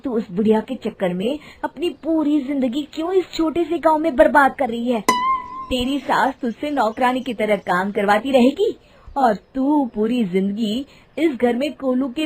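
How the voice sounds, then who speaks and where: A woman voicing a pushy, scornful young village friend in an animated moral story, goading and emphatic. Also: high voice, voice pushed up.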